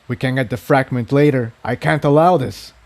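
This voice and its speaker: A man's voice: medium pitch, fairly quick, a little mellow, natural voice. A man voicing a determined, protective male pony hero who speaks with earnest emphasis in a story reading.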